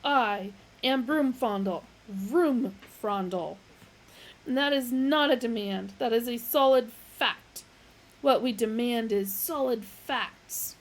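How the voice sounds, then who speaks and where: A woman's voice: on the high side, slow pace, voice pushed up, rough recording. A woman voicing a muddled younger male philosopher who bawls emphatic demands. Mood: bawling.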